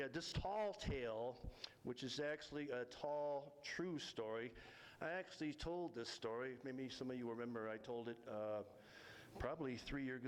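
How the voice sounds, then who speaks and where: A man telling a story live in a folksy, rambling, self-deprecating and halting way. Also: medium pitch, a little hiss or hum, low-quality recording. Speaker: storyteller and local history author. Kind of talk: live tall-tale storytelling to an audience.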